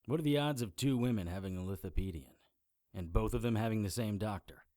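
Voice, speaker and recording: A man's voice: fairly low pitch, fairly quick, no echo, close to an everyday voice. An actor playing a dry, wry male occult investigator who narrates his case in an audio drama. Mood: probing. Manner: deadpan, wry. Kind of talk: first-person detective audio drama narration.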